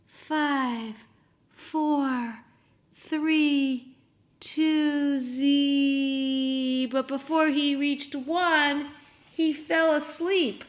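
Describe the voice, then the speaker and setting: A woman reading a story aloud to young children, animated and encouraging, often putting questions to her listeners. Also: high voice.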